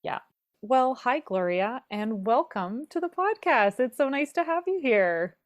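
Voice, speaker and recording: A woman's voice: on the high side, lively intonation, measured pace, no echo, studio quality. A woman co-hosting a cooking podcast, upbeat and agreeable as she welcomes a guest. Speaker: podcast co-host. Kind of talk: casual podcast conversation.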